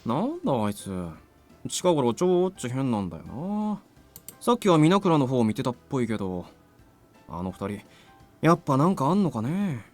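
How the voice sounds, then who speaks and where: A man's voice: medium pitch, big pitch swings, fast talker, darkish tone, faint music behind, natural voice. A man voicing a goofy, boisterous teenage schoolboy in a Japanese visual novel, with casual slangy speech. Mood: puzzled, casual.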